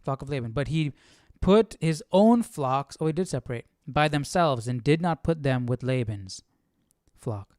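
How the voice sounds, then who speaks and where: Casual male study host: clear, lightish voice, informal and questioning, dry close recording. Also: medium pitch, lively intonation, moderate pace, darkish tone, no echo. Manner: informal, questioning, thinking aloud. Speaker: host of live Bible study. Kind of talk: casual conversational commentary.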